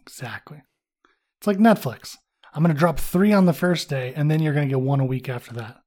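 A man's voice: fairly high pitch, brisk, a little mellow. A man co-hosting a casual podcast, self-mocking, laughing often and swearing freely. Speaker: podcast co-host. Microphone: studio podcast mic.

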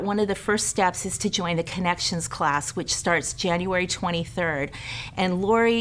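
A woman's voice: medium pitch, slight background noise. A middle-aged woman, a care ministry director, giving church announcements in an earnest, inviting way.

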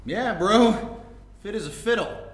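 A man's voice: high-pitched, expressive, takes it slowly. A man playing a brash, athletic older brother in his thirties who needles in mocking slang, in a staged comedic play reading. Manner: slangy, mocking, jock-like delivery. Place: indoor room before a live audience. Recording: roomy live stage recording.

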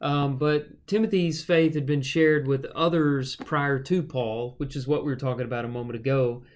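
A man hosting a podcast, talking through a Bible passage in a relaxed, explanatory way with a co-host. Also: mid-range voice, normal speed. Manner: relaxed and explanatory, with frequent fillers. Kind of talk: conversational Bible teaching.

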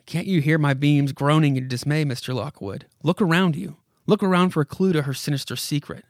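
A man's voice: on the high side, brisk, no echo, studio quality, natural voice. A man voicing an insistent haunted house from a horror comic, pleading and commanding in a dramatic way. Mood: urgent, hinting. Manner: dramatic, commanding second-person address with repeated imperatives. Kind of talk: horror comic read aloud.

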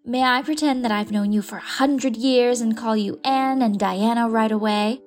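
A woman's voice: on the high side, normal speed, a little mellow, faint music behind, lightly acted. A woman reading as a whimsical, independent lady of forty-five who loves to pretend, in a storybook. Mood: warm. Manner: fond, playful, pretends freely. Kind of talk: audiobook character reading.

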